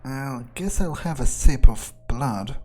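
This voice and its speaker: A man's voice: medium pitch, lively intonation, takes it slowly, dark tone, slight background noise. A man narrating a game playthrough in character, thinking aloud in a musing, casually profane way. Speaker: gamer playing in character.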